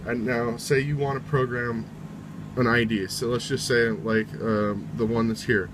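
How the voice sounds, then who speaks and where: A man's voice: medium pitch, little pitch movement, measured pace, background noise. A man giving a casual, step-by-step how-to in an informal way, often reiterating each step. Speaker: tutorial presenter.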